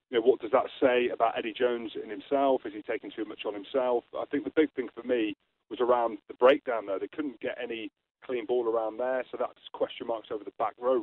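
A man with a Scottish accent, a rugby pundit guest giving blunt, forthright opinions in a radio interview. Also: medium pitch. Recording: tinny phone line.

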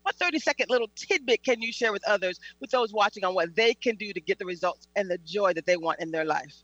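A woman's voice: medium pitch, fast, a bit bright, dry recording, muffled recording. A woman hosting an upbeat talk show, speaking in an enthusiastic, effusive way. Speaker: show host.

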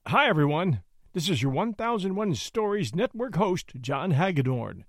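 Lively, high-voiced podcast host man. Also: moderate pace, background noise. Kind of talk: casual scripted intro.

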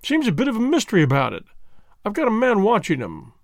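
A man's voice: high-pitched, huge pitch swings, fast talker, creaky, no room sound, clean studio sound, voice pushed up, exaggerated voice. A man reading the part of a breezy, chummy middle-aged police inspector who is mildly condescending.

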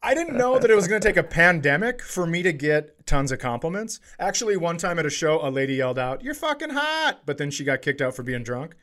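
A man's voice: fairly high pitch, big pitch swings, brisk, hardly any pauses, fairly bright tone. A man in his forties co-hosting a comedy podcast, telling stories casually in a teasing, self-deprecating, sweary way. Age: 40s. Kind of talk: casual comedic banter and storytelling.

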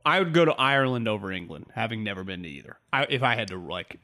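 A man's voice: on the low side, big pitch swings, fast, bright, forceful tone. A young man, co-hosting a casual sports podcast and rambling through his points with lots of fillers. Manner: rambling, filler-heavy, enthusiastic. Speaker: podcast co-host.

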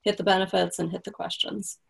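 A woman's voice: fairly low pitch, flat delivery, brisk, vocal fry, husky. A woman guest on a podcast interview, explaining practical tips in a casual, conversational way and checking in with "right?". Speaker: copywriting guest.

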